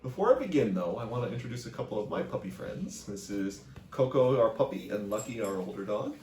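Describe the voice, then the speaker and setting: A man's voice: lowish voice, sing-song, brisk, a little mellow, slight background noise. A man reading aloud as a library storyteller, in a storybook narration style. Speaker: library reader. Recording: echoey room, distant microphone.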